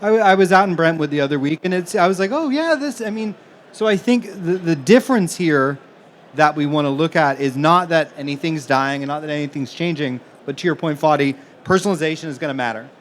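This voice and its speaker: A man from Manchester with a slightly high-pitched voice argues his points conversationally on a conference panel. There is some background noise from the live event. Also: a little fast. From Manchester, England, now living in the US. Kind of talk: panel discussion, conversational argument.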